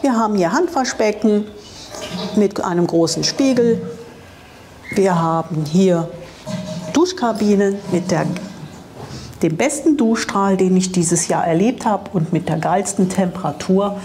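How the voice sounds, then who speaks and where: A woman presents an informal travel vlog. Her voice sits at a medium pitch, and she is chatty and enthusiastic. She wears a lapel mic, with some ambient noise behind her. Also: a little slow, long pauses, mellow. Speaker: camper van travel vlogger and presenter. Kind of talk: informal guided tour to camera. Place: campsite, outdoors and in small tiled rooms. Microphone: clip-on lapel microphone. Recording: clear ambient noise on location.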